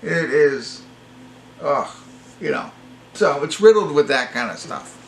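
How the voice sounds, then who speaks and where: A man's voice: fairly high pitch, lively intonation, slow, creaky, slight background noise, rough recording. A man giving a casual, unscripted movie review to viewers, rambling and hesitant, with an apologetic way of talking. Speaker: amateur movie reviewer, host.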